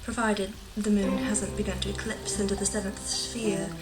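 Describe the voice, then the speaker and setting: A woman's voice: medium pitch, little pitch movement, moderate pace, close to an everyday voice. A woman playing a cheerful, whimsical guide who rambles breezily and a little fussily in a storybook audio drama. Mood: breezy, helpful. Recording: distant, echoey, under loud music.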